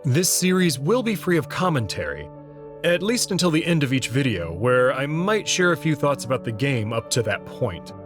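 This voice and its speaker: A man's voice: mid-range voice, big pitch swings, moderate pace, over music. A man giving a scripted introduction as the host of a game playthrough series. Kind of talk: scripted narration, channel introduction.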